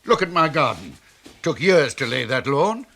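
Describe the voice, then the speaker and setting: A man's voice: medium pitch, big pitch swings, moderate pace, gravelly, bright, forceful tone, heavily acted. A man playing an indignant, sarcastic husband suspected of murder in a 1970s radio play. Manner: sarcastic, defensive.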